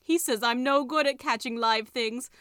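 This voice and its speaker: A woman's voice: on the high side, normal speed, a bit bright, dry recording, clean studio sound, pitched above the performer's own voice, lightly acted. A woman voicing a lonely, mournful talking tiger skin that wails and pleads. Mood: plaintive. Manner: plaintive, wailing.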